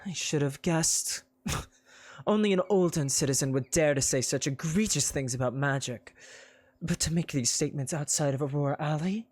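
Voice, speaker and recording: A narrator voicing a proud young woman mage who speaks in a calm, cold, measured way. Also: mid-range voice, close to an everyday voice. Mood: icy.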